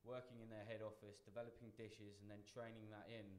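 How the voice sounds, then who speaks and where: A man's voice: lowish voice, flat delivery, a little fast, darkish tone. A man in his forties, a chef on a panel, talking earnestly to students with many restarts. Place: large function room with audience. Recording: echoey hall, faint room noise.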